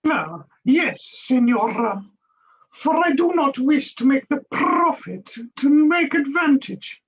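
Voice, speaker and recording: A sly, ingratiating older madam in a theatrical play. Her voice is high and she speaks slowly, in broken English with a Spanish accent and tangled word order. The sound is dull, like a video call. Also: very animated, full character voice. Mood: wheedling, offended. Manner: halting broken English with inverted phrasing.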